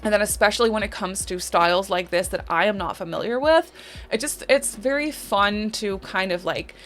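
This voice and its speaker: A woman's voice: medium pitch, moderate pace, a bit bright, faint music behind. A young woman from the Netherlands with a Dutch accent, chatting casually over a game build and rambling with lots of fillers. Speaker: Sims builder and YouTuber.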